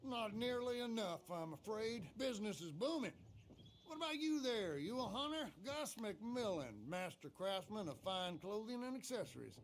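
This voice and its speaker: Smug retired hunter turned furrier, high male voice, sarcastic, showman-like, game cutscene, noisy ambience behind. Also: lively intonation, normal speed. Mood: sardonic, smug. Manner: sardonic, mocking, showy. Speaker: fur trader and craftsman. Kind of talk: theatrical video game cutscene acting.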